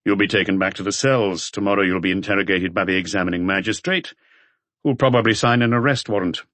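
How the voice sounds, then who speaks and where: A man voicing a weary, measured police inspector in an audiobook, muted and matter-of-fact. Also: deep voice, fairly bright tone, dry recording.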